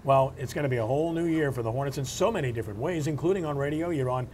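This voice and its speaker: A man's voice: mid-range voice, very fast, darkish tone, slight background noise. A man hosting a sports talk show, presenting and discussing in a broadcast style. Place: live remote broadcast from a local venue.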